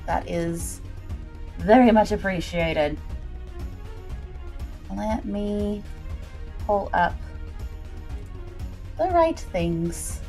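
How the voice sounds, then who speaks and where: A woman livestream host with a very lively, swooping voice and an unhurried pace. She chats casually and playfully, with folksy, bubbly phrasing. Soft music sits faintly behind her. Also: medium pitch. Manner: bubbly and playful, with folksy greetings and sing-song muttering.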